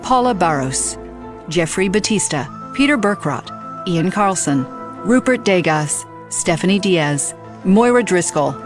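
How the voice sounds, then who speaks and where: Female credits announcer: somewhat low register, formal scripted delivery, prominent music bed. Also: animated, measured pace.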